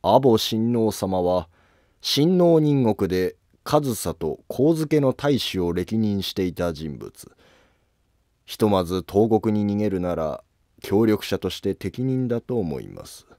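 A man playing a distrustful, resolute court noble with deliberate formal speech in a historical drama. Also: on the low side, sing-song, dark tone, no room sound, clean studio sound, heavily acted. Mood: resolute, secretive. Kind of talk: scripted historical drama dialogue.

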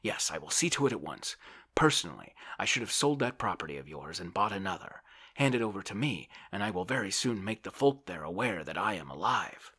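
Storybook bully voiced by a man: brash, hectoring, swooping emphatic delivery, sharp tone. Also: deep voice, big pitch swings, a little fast, husky, pitched above the performer's own voice, full character voice. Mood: scornful, boastful. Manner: blustering, scolding, bossy. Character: brash, bullying, grasping Russian man, hectoring his friend. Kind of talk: audiobook character voice.

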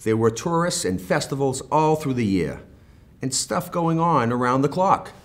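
Man voicing an eager American snowkid, animated. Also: mid-range voice, normal speed, pitched above the performer's own voice, lightly acted. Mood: explaining, eager. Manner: eager, storybook dialogue. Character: eager young snowkids planning and cheering each other on.

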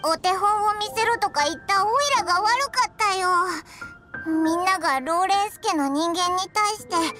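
A woman voicing a tiny, childlike, excitable fairy companion in an anime game, with a squeaky voice and an earnest, blunt manner. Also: fairly quick, over loud music. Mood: flustered, apologetic. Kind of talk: Japanese anime game voice acting.

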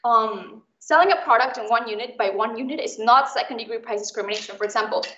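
Young woman from Mexico, chatty student tutor, rapid pace, roomy online call sound. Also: fairly high pitch, sharp tone, muffled recording. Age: young adult. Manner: chatty, explains with everyday examples. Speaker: student tutor leading an exam recap. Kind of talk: informal online lesson. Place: indoors, online video call.

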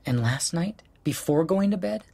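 An actor playing an exasperated, sarcastic husband in an audio drama, hurt and profane in a late-night argument. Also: male voice, on the high side, lively intonation, normal speed, gravelly, dark tone. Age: 40s.

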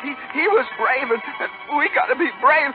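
A man playing a small, kindly cricket in 1930s radio drama, folksy and halting, with a light, plaintive voice. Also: very high-pitched, animated. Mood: grieving. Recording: muffled 1930s radio broadcast, music behind.

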